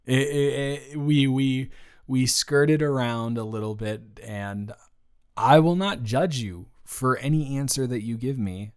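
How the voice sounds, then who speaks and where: Slow-talking, flustered, tender ex-boyfriend, acted by a man. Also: medium pitch, darkish tone, clear, resonant tone, natural voice. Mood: nervous, reassuring. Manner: halting, stammering, trailing off. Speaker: audio roleplay performer. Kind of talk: improvised intimate boyfriend audio roleplay.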